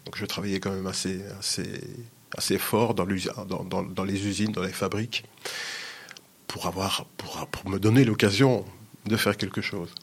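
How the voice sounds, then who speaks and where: Gravelly older man. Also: deep voice, level tone, normal speed. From Sicily. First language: Sicilian. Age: 60s. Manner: hesitant, many restarts and fillers. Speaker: musician guest. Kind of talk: casual interview talk.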